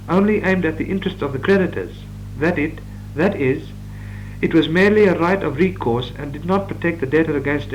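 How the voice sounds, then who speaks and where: A man's voice: fairly high pitch, rough recording. Man dictating law notes on old tape. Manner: measured dictation with occasional stumbles. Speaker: law lecturer. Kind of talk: reading out course notes. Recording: old reel-to-reel tape with hum.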